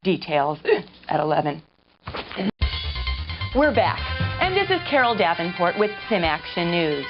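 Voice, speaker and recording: An actress playing a polished, flippant American TV news anchor in a mock game newscast, with a deadpan delivery. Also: female voice, lowish voice, unhurried, pauses often. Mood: deadpan, brisk. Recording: dull lo-fi audio under music. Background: music.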